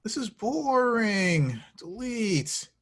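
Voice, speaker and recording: A man playing an excitable, oblivious young slacker in love, slangy, in a comedy screenplay table read. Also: high voice, very slow, heavily acted. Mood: bored.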